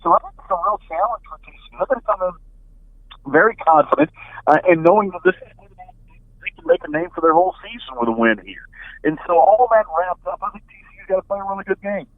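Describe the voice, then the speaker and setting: A man's voice: high-pitched, fast, no echo. A middle-aged man, a radio play-by-play announcer, talking as a guest in a sports interview over the phone in a conversational, analytical way. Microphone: telephone. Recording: band-limited phone line.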